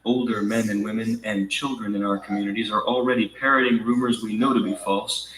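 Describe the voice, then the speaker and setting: A man reading a written text aloud in a measured, declamatory way. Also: fairly low pitch, fairly even intonation, a bit bright. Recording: roomy echo, distant mic, faint hiss.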